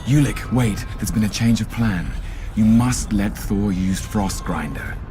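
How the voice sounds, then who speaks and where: An actor playing a scheming, commanding trickster god in a video game, bargaining in a controlled manner. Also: male voice, on the low side, a little slow, lightly acted. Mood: calculating. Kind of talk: video game character acting. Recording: clear background noise.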